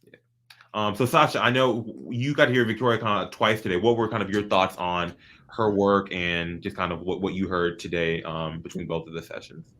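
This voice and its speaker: A man hosts a casual conversation with students. He talks in a thoughtful, meandering way and often restarts his sentences. His voice sits a little low. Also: fairly even intonation, brisk, a bit bright. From North Carolina, USA. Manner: thoughtful, rambling, many restarts. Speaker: host. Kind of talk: casual interview hosting.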